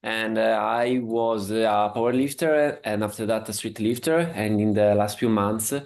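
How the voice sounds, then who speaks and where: A man's voice: on the low side, steady tone, normal speed, a little mellow. A man, introducing himself with frequent fillers. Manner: hesitant, many fillers.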